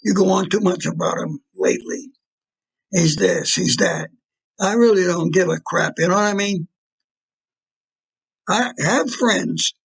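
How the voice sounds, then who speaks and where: Male actor as irritable mob fixer, high male voice, husky, streetwise slang, menacing, audio play. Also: unhurried, long pauses, exaggerated voice. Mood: irritated. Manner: blunt streetwise slangy talk.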